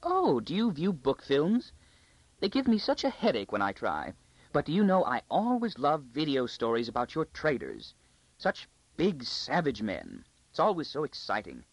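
A man voicing a plump, vague noblewoman who talks in a breathy, fluttery, gushing way. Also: on the high side, wildly swooping pitch, normal speed, no echo, dull audio, pitched above the performer's own voice, heavily acted. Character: vague, plump, high-voiced noblewoman, middle-aged.

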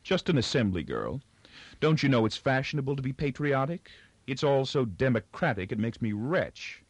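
A man's voice: mid-range voice, sing-song, moderate pace, breathy edge, no echo, voice pushed up. A man voicing a cynical, sophisticated young female factory worker in a storybook, languid and scornful. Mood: disdainful.

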